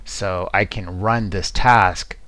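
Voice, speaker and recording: A man giving an informal, explanatory screen-share tutorial as a software demo presenter, talking conversationally into a close mic. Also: low voice, steady tone, slow pace, no echo. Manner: explanatory, conversational, checks in with "right?".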